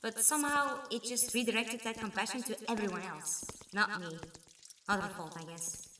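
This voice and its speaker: A woman playing a kind, concerned companion in a sci-fi audio drama, with a gentle, hesitant manner. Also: mid-range voice, steady tone, normal speed, close to an everyday voice. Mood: wistful. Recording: echoey, distant, faint noise.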